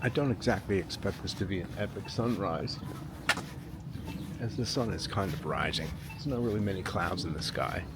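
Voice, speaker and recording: Low-voiced man of sixty, very noisy background. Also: normal speed. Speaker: travel vlogger. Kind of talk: casual vlog narration, talking to camera. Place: outdoors by a waterfront. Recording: loud outdoor ambient and vehicle-like noise.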